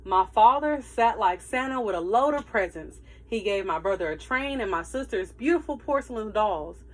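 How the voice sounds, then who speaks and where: A woman teacher reading a story aloud to children in an animated, emphatic way, with asides to her listeners. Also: medium pitch, moderate pace, low-quality recording.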